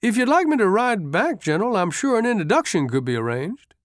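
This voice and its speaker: Man voicing a coaxing, high-pitched lean army sergeant. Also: sing-song, a little fast, dry recording, clean studio sound. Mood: coaxing, teasing. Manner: wheedling, deferential, folksy.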